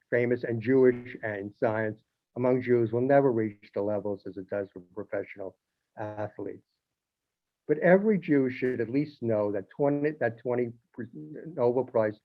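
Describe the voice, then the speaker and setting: A columnist man at a middle pitch, talking in a loose, chatty way that trips over its own words and adds dry jokes. The sound is narrow and thin, as if heard over a phone line. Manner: conversational, with restarts and wry asides. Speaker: newspaper columnist. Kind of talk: informal monologue.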